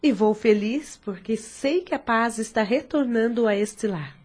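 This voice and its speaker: An actress playing a kind, devoted and supportive sister in a radio soap opera. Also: female voice, a little high, unhurried, darkish tone, slightly rough. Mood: happy, warm.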